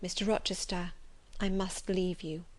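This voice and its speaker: A resolute young governess speaks softly and steadily, as a woman reads her lines. Her words are measured and firm in an audiobook telling. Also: lowish voice, level tone, close to an everyday voice. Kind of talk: audiobook reading.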